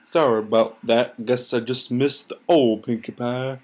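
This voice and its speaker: Woman putting on a bashful male farm pony, husky. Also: extremely low pitch, steady tone, a little slow, a little mellow. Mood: sheepish.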